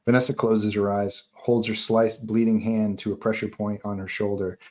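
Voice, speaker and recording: A man's voice: fairly low pitch, flat delivery, moderate pace, darkish tone. A man narrating stage directions at a live table read of a horror screenplay, in scripted narration style.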